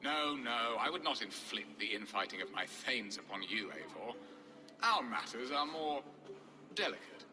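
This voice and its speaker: An actor playing a wry, middle-aged Saxon lord in a game, with a florid, theatrical way of talking. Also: male voice, medium pitch, sing-song, a little slow, pushed and bright, full character voice. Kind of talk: cinematic game voice acting. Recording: long hall-like reverb.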